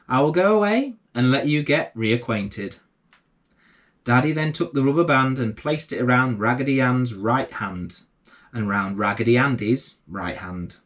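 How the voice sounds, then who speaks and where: A man reads a children's bedtime story aloud as its host. His voice sits at an average male pitch and moves up and down in a lively way. The delivery is expressive and aimed at little listeners. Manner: expressive, addressing young children.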